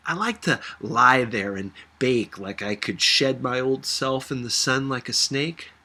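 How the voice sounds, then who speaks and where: Man, husky, bright forward tone, mid pitch, first-person story narration, reflective. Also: unhurried. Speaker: audiobook reader.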